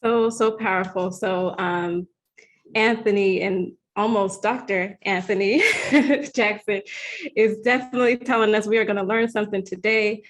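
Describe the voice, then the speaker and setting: A woman's voice: medium pitch, steady tone, normal speed. A woman with an American accent moderating an online panel in a conversational way. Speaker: webinar moderator.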